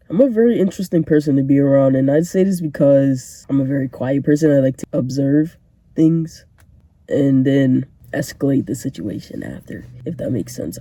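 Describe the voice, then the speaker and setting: A man's voice: fairly high pitch, mellow, no echo. A congested young man hosting a casual solo podcast, talking earnestly and encouragingly with joking asides. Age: young adult. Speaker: podcast host.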